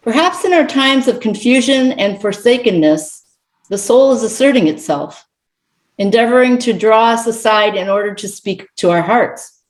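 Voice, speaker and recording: Middle-aged lady guest speaking at church, reflective, measured and contemplative, kinda like a meditation. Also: mid-range voice, a little mellow, poor audio. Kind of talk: reflective sermon with readings, spoken to a congregation.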